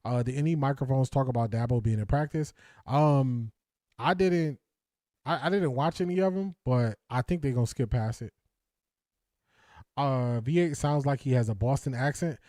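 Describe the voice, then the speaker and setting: A man's voice: medium pitch, moderate pace, dark tone, no room sound, studio quality. A man hosting a casual sports talk stream, reading viewer comments and answering with frequent restarts. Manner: hesitant, many restarts and fillers, laughs. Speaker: sports talk show host.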